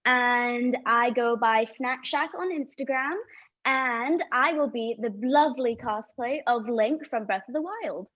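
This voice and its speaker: A woman's voice: a little high, unhurried, dull audio. Bubbly woman from the UAE. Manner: bubbly, upbeat. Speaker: contestant.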